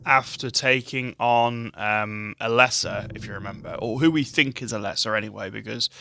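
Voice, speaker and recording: A man with a very deep, bassy voice, hosting casual let's-play commentary on a gaming headset mic, chatty and self-deprecating. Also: normal speed, creaky, sharp tone. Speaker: gaming channel host. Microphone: gaming headset with adjustable boom mic. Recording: game music playing under voice. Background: game music and sounds.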